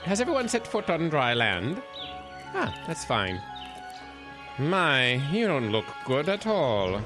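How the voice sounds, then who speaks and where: A man's voice: a little high, wildly swooping pitch, slow pace, music in the background, heavily acted. An actor playing a pompous, eccentric old man who rules his own island, with grandly formal, courteous yet menacing speech in an audio drama. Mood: unctuous politeness. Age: older.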